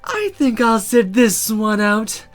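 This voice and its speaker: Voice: medium pitch, big pitch swings, slow pace, faint music behind, voice pushed up. A woman voicing a sardonic demon companion in a visual novel, smug and playful, with emphatic delivery. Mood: lazily smug.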